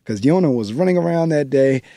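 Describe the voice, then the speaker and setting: A man's voice: a little high, animated, brisk, warm tone, dry recording. A man hosting a radio book show, chatting casually and speaking straight to his listeners from the studio. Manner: chatty, conversational, addresses listeners directly. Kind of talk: radio interview.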